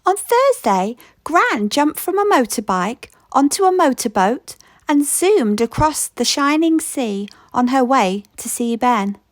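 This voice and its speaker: A woman reads a children's picture book aloud, unhurried and playful. Her voice is fairly high and swings widely in pitch, and the recording is close and dry with no room sound. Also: no room sound. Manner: slow, expressive read-aloud with playful rhythm. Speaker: storybook reader.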